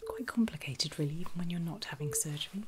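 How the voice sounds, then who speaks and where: A woman whispering in a breathy, soothing and reassuring way, as a caregiver in an ASMR medical roleplay. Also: fairly low pitch, expressive, noisy background. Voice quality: breathy, mostly unvoiced whisper. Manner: soothing, reassuring, slow and deliberate. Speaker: ASMR performer playing a surgical nurse.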